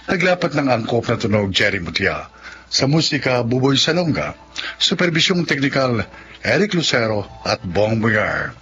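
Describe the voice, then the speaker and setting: A man with a Filipino accent reading scripted radio drama narration and credits as a formal, declamatory announcer. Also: medium pitch. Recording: muffled broadcast, clearly noisy background.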